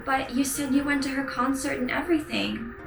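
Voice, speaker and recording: A bubbly, airheaded young woman played by a woman teases in a Valley Girl accent. Her voice sits very high with a gravelly edge. An echoey room and loud music surround it. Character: ditzy, airheaded but nice young woman.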